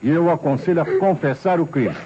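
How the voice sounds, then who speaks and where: An actor playing an insistent, sceptical police commissioner with a Brazilian accent in an old radio mystery play. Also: male voice, on the high side, a little fast, over music, close to an everyday voice. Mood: accusing. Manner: interrogating, sardonic, pressing. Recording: dull, noisy vintage radio recording.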